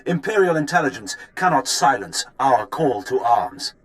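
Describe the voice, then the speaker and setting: An actor playing a terrorist leader in a video game, delivering a defiant propaganda broadcast in an oratorical manner. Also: male voice, medium pitch, very animated, moderate pace, natural voice. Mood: fervent, defiant. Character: fervent male rebel terrorist leader making a broadcast.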